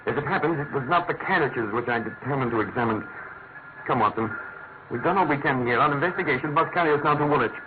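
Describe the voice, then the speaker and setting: An actor playing a brilliant, self-assured detective in a classic radio drama, with a crisp manner of speech. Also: male voice, medium pitch, quick pace, close to an everyday voice. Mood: brisk, assured. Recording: noisy, tinny vintage radio recording.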